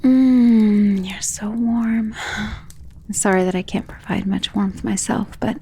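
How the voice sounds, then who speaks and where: Woman doing a protective vampire lover for asmr roleplay, husky n breathy, bit of creak, self-assured, intimate. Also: mid-range voice, steady tone, takes it slowly, warm tone, exaggerated voice. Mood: intimate, tender. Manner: intimate, soothing, often dropping to a breathy whisper. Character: ancient powerful female vampire, protective, self-assured, tenderly possessive lover.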